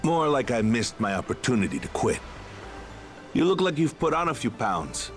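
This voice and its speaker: An actor playing a genial, nostalgic middle-aged treasure hunter turned pirate in a video game, with a folksy, wry, measured way of talking. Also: male voice, mid-range voice, sing-song, soft background music, lightly acted. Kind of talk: dramatic video game voice acting.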